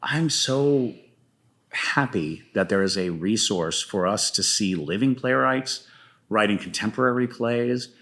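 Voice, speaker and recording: Male playwright guest, low voice. Conversational interview, enthusiastic, halting and discursive. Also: lively intonation, a bit bright.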